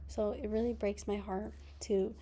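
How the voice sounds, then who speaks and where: A young woman whose first language is Spanish gives a class presentation, partly read and partly personal, speaking softly with pauses and restarts. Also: mid-range voice, steady tone, husky. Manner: slow and soft-spoken, with pauses and restarts. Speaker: student presenter.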